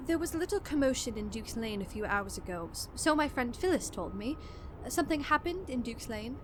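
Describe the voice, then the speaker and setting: A woman's voice: on the high side, animated, a little fast, background noise, natural voice. A woman playing an anxious, loving young wife in a radio drama, pleading and concerned. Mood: casual, probing.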